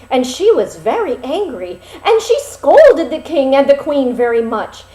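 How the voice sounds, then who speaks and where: Slow, animated woman storyteller. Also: fairly high pitch. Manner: dramatic, deliberate phrasing with pauses. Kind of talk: expressive fairy-tale narration. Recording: roomy, echoing sound.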